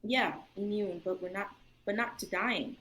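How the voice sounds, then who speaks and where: A woman's voice: mid-range voice, moderate pace, a bit bright, natural voice, low-quality recording. A young woman playing an outspoken, protective big sister in an amateur livestreamed drama reading. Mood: firm, reassuring. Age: young adult.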